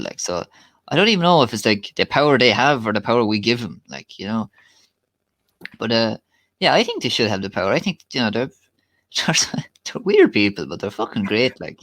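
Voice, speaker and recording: A man's voice: mid-range voice, fairly bright tone, dry recording. A young Irish man co-hosting a podcast, talking bluntly with plenty of swearing and teasing. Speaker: podcast co-host. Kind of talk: casual chat.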